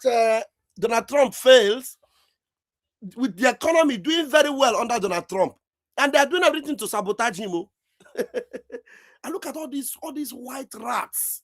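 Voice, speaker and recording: A man speaks in a high, lively voice that swings up and down as he drives his points home on a live stream. His tone is slightly husky, heard close and dry through a computer mic on a call. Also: dry recording. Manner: emphatic, preachy, repeats phrases. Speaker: online show host. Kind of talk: political commentary on a live stream. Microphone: computer mic on a live video call.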